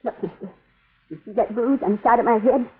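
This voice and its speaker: A woman's voice: fairly high pitch, a little fast, slightly rough. A woman playing a tough, proud sixteen-year-old runaway farm girl in an old radio western, with a sassy rural Western American drawl. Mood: bitter. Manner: sassy, folksy, ungrammatical drawl. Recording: muffled, narrow-band old radio recording.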